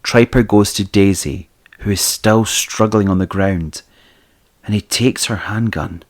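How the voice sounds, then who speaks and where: Deep-voiced male audio drama narrator. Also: measured pace. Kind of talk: scripted present-tense story narration.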